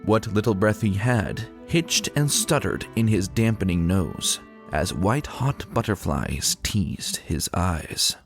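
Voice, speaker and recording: Low, expressive male storyteller over music. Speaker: narrator. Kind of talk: dramatic storybook narration. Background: background music.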